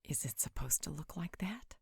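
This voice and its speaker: A woman's voice: deep voice, lively intonation, normal speed, gravelly, darkish tone, breathy edge, no room sound, clean studio sound, full character voice. A woman playing a teasing, playful mother figure in a supernatural roleplay audio drama. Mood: wry.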